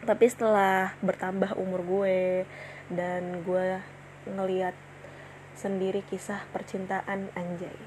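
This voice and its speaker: A woman's voice: medium pitch, little pitch movement, slow pace, a little mellow, slight background noise. A woman in her twenties hosting her own casual solo podcast, chatting in slang with many fillers and restarts. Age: 20s. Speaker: podcast host.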